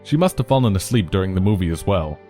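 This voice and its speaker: A man reading second-person fan fiction aloud as narrator in a casual, expressive way. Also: deep voice, fast, faint music behind.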